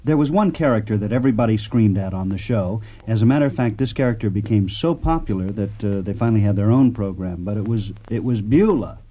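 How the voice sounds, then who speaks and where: A man hosting a conversational radio interview, enthusiastic, with halting questions. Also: fairly low pitch, animated, dark tone.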